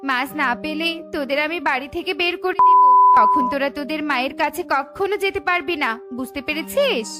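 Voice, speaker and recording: Woman voicing a bossy, high-pitched scheming cartoon bird auntie. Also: very animated, a little fast, loud music behind the voice. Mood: bossy, grumbling. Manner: scolding, demanding.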